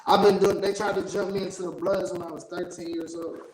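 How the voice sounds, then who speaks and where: Male, American, notably high voice, rambling and emphatic interviewee in casual chat, roomy echo. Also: little pitch movement, fairly quick, darkish tone, slight background noise. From Youngstown, Ohio, United States. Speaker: interview guest. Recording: roomy, echoey sound.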